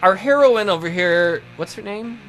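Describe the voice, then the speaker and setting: A man's voice: high voice, lively intonation, moderate pace, a bit bright, rough recording. A man co-hosting a let's play with casual, teasing commentary and jokey interjections. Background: acoustic guitar game music.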